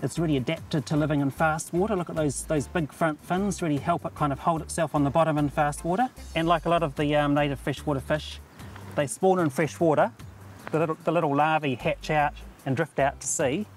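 A man's voice: on the high side, fairly even intonation, brisk, soft background music. A man with a New Zealand accent, a conservation field worker, explaining his work in a matter-of-fact way with some fillers while standing in a stream. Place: outdoors in a shallow stream.

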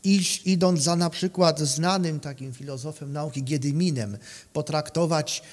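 A man giving an academic conference lecture in a halting, repetitive manner, often adding a questioning tag. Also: on the high side, darkish tone. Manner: halting, repeats words, often tags phrases with a questioning check. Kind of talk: conference lecture, partly improvised. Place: conference room, indoors. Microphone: microphone on a stand at a lectern. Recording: reverberant hall sound.

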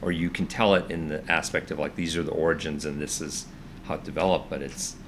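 Man in his fifties, very deep voice, earnest and halting, casual sofa vlog, faint room hum. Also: level tone, fairly bright tone. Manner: earnest, hesitant, restarts a lot. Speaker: vlog co-host. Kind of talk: casual chat. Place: indoors, living room with orange sofa.

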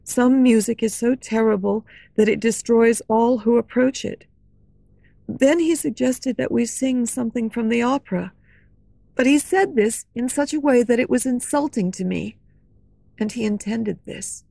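A woman's voice: a little high, normal speed, vocal fry, warm tone, no echo. A woman reading a frightened young singer. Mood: recounting. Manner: earnest, fearful storytelling. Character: young female singer, frightened, recounting a horror.

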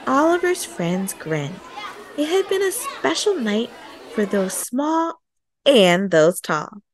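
A woman reading a children's picture book aloud expressively, acting out the dialogue in an animated way. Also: a little high, takes it slowly, some chatter behind, a little hiss or hum. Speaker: storytime host and reader.

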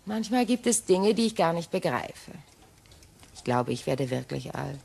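Low, slow-spoken ageing widow. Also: female voice, sing-song, gravelly, dark tone, husky, full character voice. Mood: wistful, musing. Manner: halting, dramatic pauses. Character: shaken, ageing English widow, wistful and rattled. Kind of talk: German radio crime drama acting.

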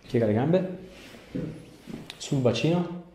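A man's voice: mid-range voice, expressive, takes it slowly, dark tone, a little hiss or hum. A man, a chiropractor giving short instructions and questions during an exam. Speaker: chiropractor and video host. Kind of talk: clinical exam talk with patient. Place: small tiled clinic treatment room. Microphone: lapel clip-on. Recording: echoey small room.

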